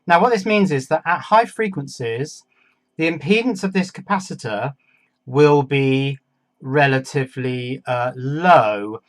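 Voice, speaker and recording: A man's voice: mid-range voice, lively intonation, measured pace. A man giving a casual hands-on electronics demonstration, thinking aloud and talking to himself as he works. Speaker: electronics hobbyist presenter.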